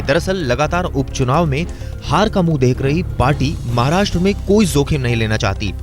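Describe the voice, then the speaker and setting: A man narrating a television news report in a measured, rhetorical way. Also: a little high. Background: music bed.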